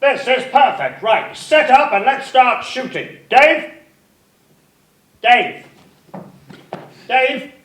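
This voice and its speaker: A man's voice: very high voice, very animated, a bit bright, exaggerated voice. A man playing an impatient, bossy film director giving exasperated orders in a live comic radio parody. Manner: brisk commands, exasperated. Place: theatre stage. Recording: echoey stage, distant from mic.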